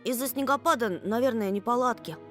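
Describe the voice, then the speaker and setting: Echoey, high-voiced young boy played by a woman. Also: quick pace, fairly bright tone, close to an everyday voice. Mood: nervous, coaxing. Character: timid, pleading young schoolboy. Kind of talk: voice acting in a Russian visual novel. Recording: echoey, reverberant game audio. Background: game music.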